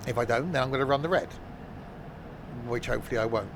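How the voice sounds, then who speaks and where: A man talks his way through a train game for a live audience, loose and jokey, with a voice sitting at an ordinary middle pitch. He meanders, corrects himself often and keeps up a running banter with his chat. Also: quick pace, noisy background. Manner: rambling, jokey, chats with live viewers; frequent ums and self-corrections. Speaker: streamer. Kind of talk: casual gameplay commentary.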